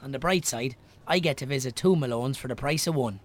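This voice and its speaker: An actor playing a teasing, wry friend in a naturalistic radio drama, full of banter. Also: male voice, medium pitch, a little fast, close to an everyday voice. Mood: teasing, fond. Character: teasing, wry Irish local businessman and friend.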